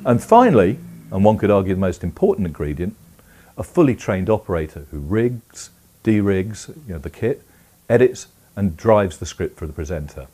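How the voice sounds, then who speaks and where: Deep-voiced middle-aged man, mellow. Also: sing-song, moderate pace. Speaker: presenter for a teleprompter company. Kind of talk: scripted explainer. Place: indoor room full of camera equipment.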